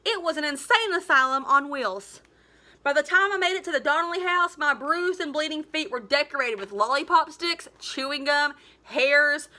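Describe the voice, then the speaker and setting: Bright, high-pitched woman reading aloud. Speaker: teacher reading a book aloud. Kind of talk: expressive storybook read-aloud. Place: living room at home, indoors.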